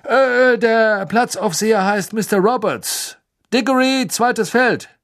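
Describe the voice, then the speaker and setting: A man voicing a weary, harried male wizard official who briskly reads out orders in a storybook. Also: high voice, fairly bright tone, voice pushed up, full character voice. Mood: busy.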